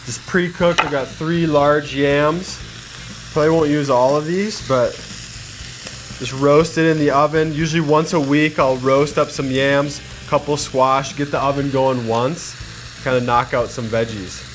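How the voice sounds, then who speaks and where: A man in his thirties hosting a cooking demonstration in a studio kitchen, chatty and energetic, talking straight to the viewers. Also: medium pitch, a little slow, background noise. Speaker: cooking show host. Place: home-style studio kitchen, indoors. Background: background music, sizzling pan.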